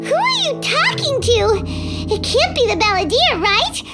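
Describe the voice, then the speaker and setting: A tiny, extremely high squeaky fairy sidekick, swooping through every line with childlike urgency. She is loyal and easily flustered, speaks unhurriedly in an exclamatory game style, and music plays behind her. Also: big pitch swings, a little slow, heavily acted. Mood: alarmed, nervous. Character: small childlike excitable fairy companion, anxious and loyal. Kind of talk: video game voice acting.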